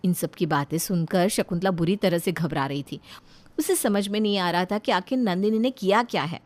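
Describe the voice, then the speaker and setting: A woman's voice: fairly low pitch, moderate pace, darkish tone. A woman narrating a scripted family story.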